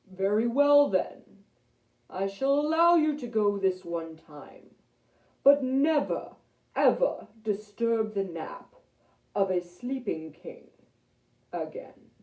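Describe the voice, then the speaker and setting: A woman voicing a huge, imperious storybook lion king, booming and pompous as she commands. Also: sing-song, slow pace, darkish tone, reverberant, recorded from a distance, low-quality recording. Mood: stern, magnanimous. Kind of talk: children's storybook character voice.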